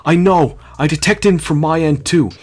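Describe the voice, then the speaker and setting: A man voicing an eager, nervous tech-support ally in a video game story, with a hurried, excitable manner. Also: fairly high pitch, voice pushed up, natural voice. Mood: urgent.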